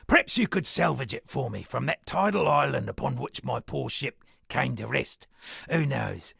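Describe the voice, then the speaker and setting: Gravelly, theatrical shipwrecked sea captain. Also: male voice, medium pitch, animated, moderate pace, fairly bright tone, dry recording, clean studio sound, full character voice. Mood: wistful, wry. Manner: florid, dramatic, alliterative declaiming. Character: eccentric male shipwrecked sea captain and pompous amateur poet. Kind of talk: theatrical video game character dialogue.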